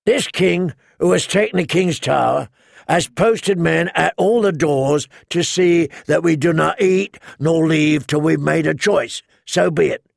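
A scornful knight calls a rowdy hall to order, as a man acts him out. Raised, higher than the narrator, forceful and declaiming, with a gravelly grain. Dry, close recording. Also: a little slow, heavily acted. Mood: commanding. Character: commanding, scornful male knight.

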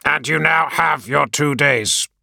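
A dryly courteous adviser who weighs every word and keeps a mock-sober tone, played by a male narrator. The sound is bright, close and dry, like an audiobook booth. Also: fairly low pitch, animated, gravelly, dry recording, voice pushed up, exaggerated voice. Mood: wry. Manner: measured, mockingly sober, deliberate.

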